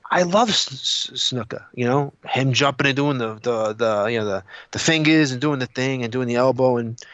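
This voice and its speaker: A man speaks in a dry, lo-fi recording at a middling pitch. He co-hosts a casual panel chat, full of opinion. He retells things animatedly and often repeats his words. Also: moderate pace, dry recording. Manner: animated retelling, repeats himself, acts out quotes. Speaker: podcast co-host. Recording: dull lo-fi audio.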